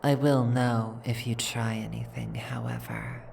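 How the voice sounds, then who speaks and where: A woman voicing a stern, imperious female dragon queen in an audio roleplay, speaking in a formal, regal, measured way. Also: rumbling low, fairly even intonation, gravelly, darkish tone, a little hiss or hum, exaggerated voice. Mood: amused, haughty. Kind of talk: ASMR audio roleplay acting.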